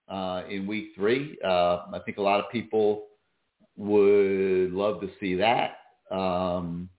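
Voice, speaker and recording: A man hosting a sports call-in show, talking casually with many fillers, his voice going hoarse. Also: on the low side, echoey room, narrow, tinny audio. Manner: relaxed, many fillers and restarts. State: losing his voice. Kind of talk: casual call-in radio talk.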